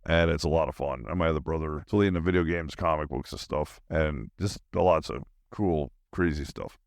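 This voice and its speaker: A man's voice: very deep voice, brisk, creaky, no echo, clean studio sound. A man hosting a solo podcast in a casual, rambling monologue, with a goofy manner and silly asides.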